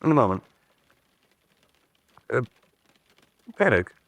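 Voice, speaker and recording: A gentleman investigator from a vintage radio play. He is shrewd and weary, clipped and dismissive in speech, with a melody that swings widely. The sound is dry, with little room around it. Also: mid-range voice, very animated, takes it slowly, creaky, no room sound, exaggerated voice. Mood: intrigued. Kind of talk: old-fashioned radio drama acting.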